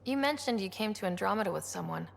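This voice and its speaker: An actress playing a sincere, supportive young leader in a video game, inquisitive, with a creaky edge to the voice. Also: female voice, mid-range voice, expressive, quick pace. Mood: curious. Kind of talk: video game dialogue acting. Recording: long reverberant hall sound.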